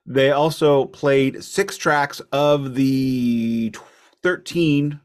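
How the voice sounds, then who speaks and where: A man's voice: medium pitch, steady tone, extremely slow, smooth. A man co-hosting a music podcast, talking in a casual, explanatory, storytelling way. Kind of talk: casual album discussion.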